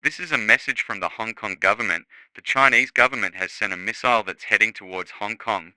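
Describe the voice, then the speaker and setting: Male emergency announcer, low register, sharp bright edge, scripted alert read. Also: brisk, no echo, rough recording.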